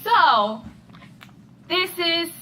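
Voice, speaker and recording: A woman's voice: very high voice, sing-song, extremely slow, pushed and bright. A young woman with a Mexican accent, a Spanish speaker, chatting upbeat in a band vlog while talking over her sisters. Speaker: band member vlogging. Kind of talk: casual vlog chat. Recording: distant mic with faint noise.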